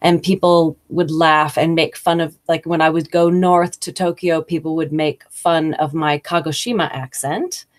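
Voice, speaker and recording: A woman's voice: low-pitched, steady tone, moderate pace, few pauses. An American woman hosting a casual, conversational talk about business English, thinking aloud with occasional fillers. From United States. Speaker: host.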